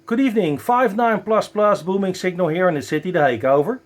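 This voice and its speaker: A man with a Dutch accent working as an amateur radio operator, enthusiastic but halting, spelling out callsigns at a close microphone. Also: sits high. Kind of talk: ham radio contact, spelling callsigns phonetically. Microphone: close broadcast dynamic microphone.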